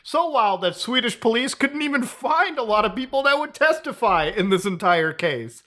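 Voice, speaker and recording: Excitable high-voiced male commentator. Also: moderate pace. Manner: sarcastic, excitable, crude slang, stumbling repeats. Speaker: YouTube host. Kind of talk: casual commentary video.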